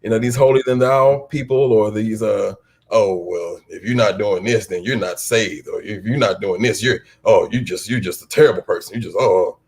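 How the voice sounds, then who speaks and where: A man speaking casually and candidly as an interview guest, halting and repeating himself for emphasis. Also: lowish voice, slight background noise. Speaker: stage play cast guest.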